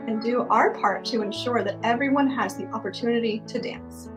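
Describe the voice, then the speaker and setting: Woman, brisk pace, fairly high pitch, prepared speech, artistic director. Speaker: ballet school artistic director. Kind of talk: scripted address to viewers. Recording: loud music under the voice. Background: loud music.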